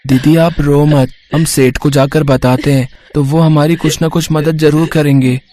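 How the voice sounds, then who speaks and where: A man's voice: fairly high pitch, fairly even intonation, moderate pace, mellow, natural voice. A man voicing a young, food-loving little brother in a moral story, eager and wishful. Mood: consoling. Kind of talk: moral story character acting.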